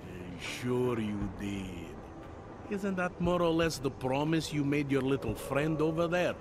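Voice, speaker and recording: A man's voice: mid-range voice, expressive, a little slow, noisy background, natural voice. A man playing a wry, weary veteran cop. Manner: wry, world-weary, half-joking. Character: weary veteran male city police detective. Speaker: game character. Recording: game cutscene with loud music.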